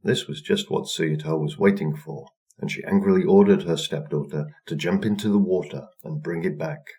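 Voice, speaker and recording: Deep-voiced male storyteller. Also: a little mellow. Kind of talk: scripted folktale narration for children.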